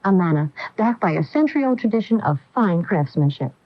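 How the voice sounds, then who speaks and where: A woman announces a radio commercial in a polished, emphatic style. Her medium-pitched voice is very animated and close, with the dulled sound of a 1980 broadcast. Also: brisk, dry recording, lo-fi sound. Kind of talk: scripted radio advertisement.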